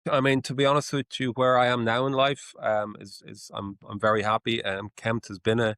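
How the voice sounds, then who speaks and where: A man's voice: mid-range voice, little pitch movement, a little fast, fairly bright tone, dry recording, clean studio sound. A man in his forties from Ireland with an Irish accent, a guest answering interview questions in a hesitant, searching way. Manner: hesitant, with many fillers and restarts. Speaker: guest, menswear brand founder. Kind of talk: casual interview.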